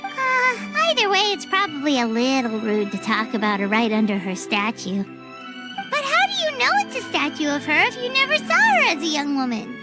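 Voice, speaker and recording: A very high, squeaky, childlike voice for a perky little floating fairy in a video game. The intonation bounces wildly and chirps along chattily. Music plays clearly behind it. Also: female voice, wildly swooping pitch, normal speed. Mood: curious. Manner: perky, chirpy, refers to self in third person. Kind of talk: video game cutscene acting.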